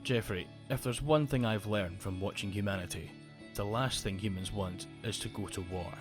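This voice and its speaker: Polite, low-voiced curious robot played by a man. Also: over music, lightly acted. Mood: earnest, assured. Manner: polite, measured, literal-minded, earnest. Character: curious, friendly, earnest male robot companion. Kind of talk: audio roleplay drama.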